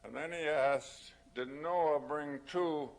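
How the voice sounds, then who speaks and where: An older man offers reflective remarks at a public talk, speaking very slowly with a gravelly creak in his voice. His delivery is formal and deliberate, with halting starts before each thought. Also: a little high. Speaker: panel speaker.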